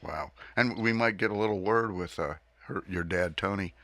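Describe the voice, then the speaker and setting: Laid-back guy hosting a studio radio interview, lowish voice, dry close mic. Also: moderate pace, a bit bright, no echo. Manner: easygoing, chatty questioner. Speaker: radio show host. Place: recording studio. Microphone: studio microphone.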